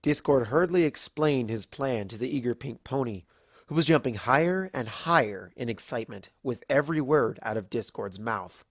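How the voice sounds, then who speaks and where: Expressive story narrator, close and dry. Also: mid-range voice, dry recording. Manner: animated storytelling, dramatic pauses. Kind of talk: expressive scripted fan-fiction narration.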